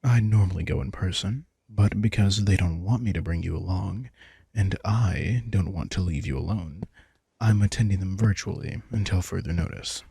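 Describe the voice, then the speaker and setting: A man playing a protective, wry and tender vampire king in an intimate audio roleplay, soft-spoken and casual. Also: low voice, moderate pace, creaky, breathy edge, no room sound, clean studio sound, exaggerated voice. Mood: caring, casual. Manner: soft-spoken, casual, reassuring, occasionally swearing. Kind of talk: intimate audio roleplay, one-sided dialogue.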